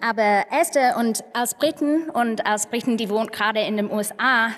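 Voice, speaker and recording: Echoey hall, halting woman with English accent. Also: fairly high pitch, fairly even intonation, fairly bright tone. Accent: English accent in German. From England (Manchester). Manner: halting, searching for words in non-native German. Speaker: conference speaker. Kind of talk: conference talk with personal anecdotes. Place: large conference hall on stage, indoors. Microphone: podium microphones. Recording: echoey hall with long reverb.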